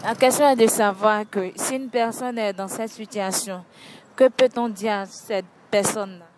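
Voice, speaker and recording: A woman interviewing passers-by on a night street, asking brisk, polite questions and pressing with follow-ups into a handheld mic. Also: mid-range voice, fairly even intonation, noisy background. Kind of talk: vox pop questions. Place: city street at night beside traffic.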